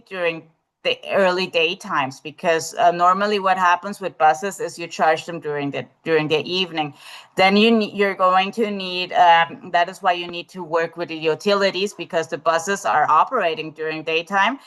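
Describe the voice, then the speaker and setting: A woman with a lowish voice answers questions in a live online webinar, picking her way through ideas with frequent pauses and false starts. She speaks over a video call. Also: fairly even intonation, a bit bright. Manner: hesitant, many fillers and restarts. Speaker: webinar presenter.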